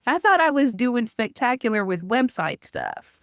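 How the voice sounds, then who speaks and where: A woman's voice: fairly high pitch, very animated, normal speed, vocal fry, fairly bright tone, no room sound, clean studio sound. A woman hosting a podcast, chatting informally and teasing her guest in a self-deprecating way. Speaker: podcast host. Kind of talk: casual interview chat.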